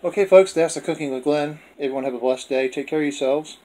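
A man's voice: a little high, fast talker, dark tone. A man hosting a homemade cooking video, talking casually in a plainspoken, folksy way. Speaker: host of a home cooking video. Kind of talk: casual vlog narration.

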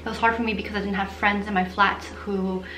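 A young woman from Portugal, talking casually to camera in an animated, chatty vlog style while gesturing with her hands. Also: mid-range voice, quick pace, reverberant, slight background noise, low-quality recording. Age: young adult. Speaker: vlogger.

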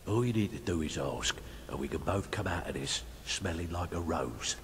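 A man playing a shrewd, gruff middle-aged thief in a fantasy game, talking bluntly and colloquially. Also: low-pitched, animated, moderate pace. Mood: conspiratorial. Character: gruff, shrewd middle-aged male thieves' guild fixer. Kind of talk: fantasy video game dialogue acting. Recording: noisy game capture with ambience.

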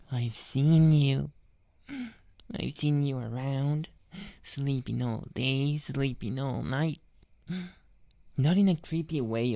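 Woman doing a clingy flirty catboy for asmr, really slow n whispery, low soft voice, close and dry. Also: dry recording, full character voice. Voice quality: breathy whispering. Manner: slow, lingering, obsessively affectionate.

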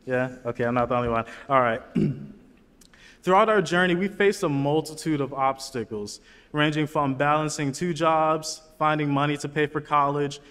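A man's voice: fairly high pitch, normal speed, a bit bright, clear, resonant tone. Young man's earnest graduation speech, echoing hall. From Chicago, USA. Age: young adult. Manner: earnest, chatty asides to the crowd. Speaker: student commencement speaker. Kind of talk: commencement speech. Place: large auditorium stage. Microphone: lectern microphone. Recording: long hall reverb.

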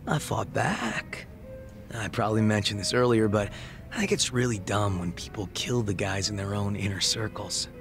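A man voicing a laid-back, wistful gang leader in his thirties in a video game, reflective, unhurried and casually profane, with a gravelly voice. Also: on the low side. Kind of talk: video game cutscene dialogue acting.

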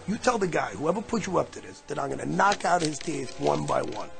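A man's voice: a little high, lively intonation, a little fast, gravelly, music in the background. A man acting in a radio drama as a brash, confused, hungover New Yorker, stammering and sarcastic. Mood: agitated.

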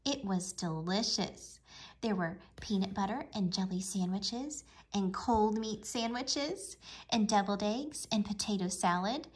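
A woman reading a children's storybook aloud with expressive, deliberate storytelling. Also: medium pitch. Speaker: storybook reader. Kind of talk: children's read-aloud narration.

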